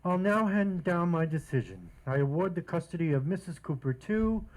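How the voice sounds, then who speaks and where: A man's voice: a little high, very animated, normal speed, noisy background. A man playing a pompous, declamatory judge in an old-time radio comedy. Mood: mock-solemn. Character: brisk theatrical male domestic-court radio judge.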